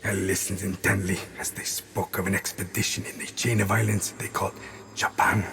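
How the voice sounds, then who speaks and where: An actor playing a brooding exiled assassin in a video game, narrating gravely and reflectively in a measured, gravelly voice. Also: male voice, low-pitched, little pitch movement, fairly bright tone. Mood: solemn, reflective. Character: brooding exiled assassin recounting his mission, solemn and resolute. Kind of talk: scripted dramatic narration.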